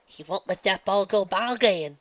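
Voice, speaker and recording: A woman's voice: mid-range voice, moderate pace, no room sound, highs cut off, pitched above the performer's own voice, natural voice. A woman voicing an earnest sports fan commenting on the game.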